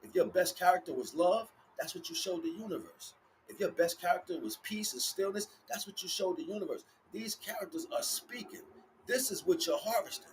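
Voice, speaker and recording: A man's voice: high-pitched, brisk, slight background noise, low-quality recording. A man giving a rambling motivational spiritual talk in casual, sweary street slang, emphatic and repeating his phrases. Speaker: livestream spiritual teacher.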